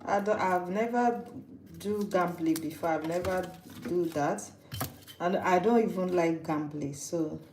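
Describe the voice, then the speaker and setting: A woman's voice: lowish voice, unhurried, darkish tone. A Nigerian woman from Nigeria, hosting a live-stream cooking chat, teasing viewers and restarting her sentences. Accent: Nigerian English. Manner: chatty and teasing, with frequent restarts. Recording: echoey room with background noise.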